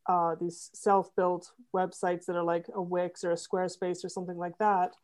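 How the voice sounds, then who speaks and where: A middle-aged woman talking through her web development work in a relaxed interview, with plenty of restarts and fillers. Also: lowish voice, moderate pace. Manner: thoughtful, many fillers and restarts. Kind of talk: conversational interview.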